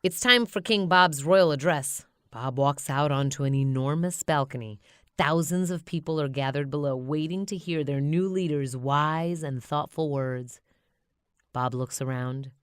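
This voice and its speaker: Female children's narrator: lowish, smooth voice, expressive scripted read-aloud, dry sound. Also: measured pace, dry recording. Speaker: storybook narrator.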